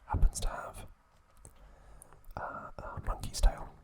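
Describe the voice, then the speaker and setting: A man speaks entirely in a breathy whisper, in the manner of an ASMR host. He goes very slowly, in short hushed phrases with long pauses between them. The delivery is halting and informal, close to the mic.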